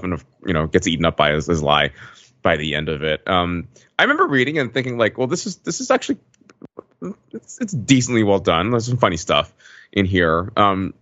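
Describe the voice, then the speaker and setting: A man's voice: sits low, a bit bright, no room sound. A middle-aged American man talking casually as a podcast panelist. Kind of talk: casual chat. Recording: dull, slightly lo-fi microphone.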